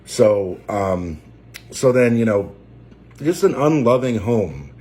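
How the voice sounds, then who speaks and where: Man, 40s, Maine accent, low voice; unscripted emotional testimony, hesitant delivery. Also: big pitch swings, dark tone. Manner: halting, many fillers and restarts; emotional, close to tears. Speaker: trucker and preacher.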